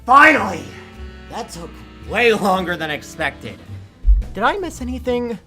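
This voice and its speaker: A man's voice: sits high, very animated, a little slow, bright, forceful tone, faint music behind, exaggerated voice. A man voicing a smug, sarcastic male shopkeeper with a showy, theatrical delivery in a fan audio drama.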